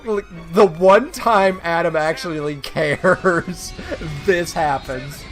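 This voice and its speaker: A man's voice: a little high, slow pace, a bit bright, faint music behind. A man casually co-hosting a reaction commentary, given to playful, theatrical exclamations. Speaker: co-host of a reaction stream.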